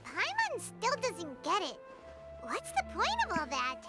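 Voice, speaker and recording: A woman voicing a small, childlike, naive and excitable fairy companion in a video game, with a squeaky voice. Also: a little slow, over loud music, full character voice. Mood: confused. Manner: refers to self in third person.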